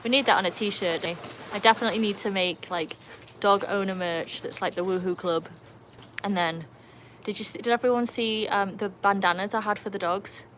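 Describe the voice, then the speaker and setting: A woman's voice: medium pitch, fairly quick, fairly bright tone, slight background noise. A woman from England with an English accent, chatting casually through a livestream by her backyard pool and calling out to her dogs. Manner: chatty, laughs often, calls to her dogs. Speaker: livestream host.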